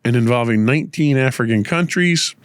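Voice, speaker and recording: A man hosting a tech news podcast, delivering measured, explanatory commentary with a voice slightly rough from a cold. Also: medium pitch, no room sound. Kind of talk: news commentary, partly read from script.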